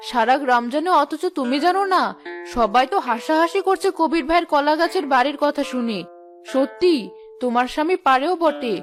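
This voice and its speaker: A woman's voice: high voice, lively intonation, a little fast, soft background music. A woman voicing a gossipy, taunting village wife in a cartoon, with a needling manner. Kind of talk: cartoon voice acting.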